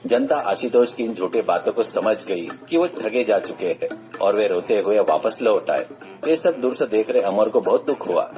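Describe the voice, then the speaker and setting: A man's voice: on the low side, animated, moderate pace, dark tone. A man reading a scripted story as narrator. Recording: music bed under the voice. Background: music.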